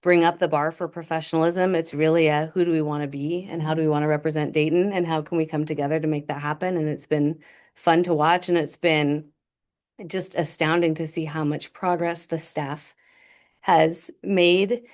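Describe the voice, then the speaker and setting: A woman's voice: low-pitched, fairly even intonation, moderate pace, darkish tone. A woman school leader speaking at a board meeting, emphatically praising the staff's work. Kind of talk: meeting remarks.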